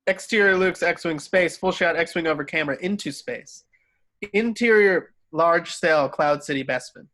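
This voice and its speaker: A man's voice: on the high side, a little slow, sharp tone. A man narrating screenplay stage directions in an amateur table read, sometimes stumbling and rereading. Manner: stumbles over words and rereads.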